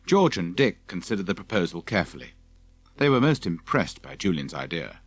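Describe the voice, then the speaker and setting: A man's voice: low-pitched, big pitch swings, fairly quick, husky. A man reading scripted narration from a children's adventure story as an audiobook narrator.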